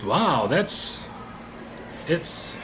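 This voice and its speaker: A man's voice: fairly high pitch, big pitch swings, drawn-out words, background noise, exaggerated voice. A man with an American accent playing a skeptical, sardonic ordinary husband in an audio drama, hesitant but curious, with wry colloquial delivery. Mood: dazed, blissful. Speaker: actor in an audio play. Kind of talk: audio drama acting in casual American talk.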